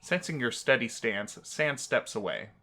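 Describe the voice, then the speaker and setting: A man's voice: medium pitch, lively intonation, measured pace, pushed and bright. A man co-hosting a game playthrough, reading text aloud and commenting casually. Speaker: gaming channel co-host.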